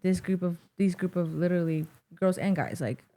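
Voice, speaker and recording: A woman's voice: on the low side, normal speed, dark tone, slight background noise. Chatty young Mexican American woman. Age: young adult. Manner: rambling, heavy use of "like". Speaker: podcast guest. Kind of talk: casual interview chat. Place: small studio set with wooden tables. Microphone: boom-mounted studio mic.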